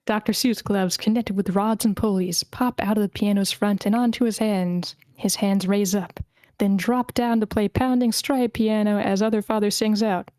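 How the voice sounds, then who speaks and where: A woman's voice: medium pitch, steady tone, normal speed, mellow, dry recording. A woman reading screenplay stage directions as narrator at a table read.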